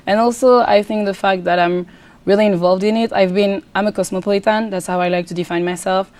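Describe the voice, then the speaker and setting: A woman's voice: medium pitch, steady tone, darkish tone. A young woman from Congo with a French accent, a pageant contestant answering interview questions earnestly, often restarting her sentences. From Democratic Republic of Congo. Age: young adult. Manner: earnest, halting with many restarts. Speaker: pageant contestant, student guest. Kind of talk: casual interview talk.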